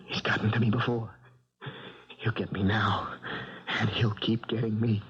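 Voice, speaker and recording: An actor playing a frightened, doomed boxer gripped by dread in a 1970s radio mystery, with a breathy, husky voice. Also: male voice, lowish voice, little pitch movement, normal speed, slight background noise, lightly acted. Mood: weary doom. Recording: dull vintage radio broadcast.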